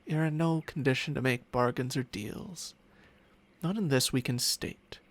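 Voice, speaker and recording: Man playing a slow, smug dragon familiar, ASMR. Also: medium pitch, close to an everyday voice. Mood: soothing, commanding. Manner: slow, teasing, breathy murmurs slipping into whispers. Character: smug possessive ancient dragon familiar, male, seductive and doting. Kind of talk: ASMR roleplay, intimate personal attention. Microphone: close-up microphone with spatial panning.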